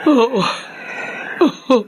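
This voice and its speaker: An actress playing a grieving, devoted wife in a Filipino radio drama, full of sorrow. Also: female voice, very slow, dark tone, muffled recording, slight background noise. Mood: sorrowful.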